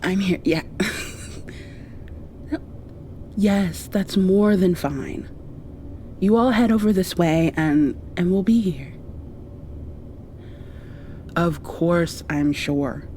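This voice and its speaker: A woman doing an ASMR roleplay, drifting between whispers and soft speech in an affectionate, playfully scolding way. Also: fairly low pitch, very slow, long pauses, a little hiss or hum.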